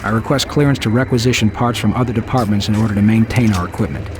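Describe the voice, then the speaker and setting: An actor playing a strained, dutiful engineering manager dictating formal audio logs in a video game. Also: male voice, fairly low pitch, fairly even intonation, fast talker, natural voice. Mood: formal, concerned. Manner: formal, businesslike log dictation.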